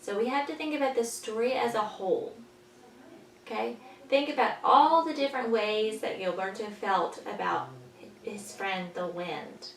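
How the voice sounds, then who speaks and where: A woman teaching young children, patiently explaining in simple words and pausing after questions, as in a story lesson. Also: fairly high pitch, vocal fry. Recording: echoey room, distant mic, faint noise.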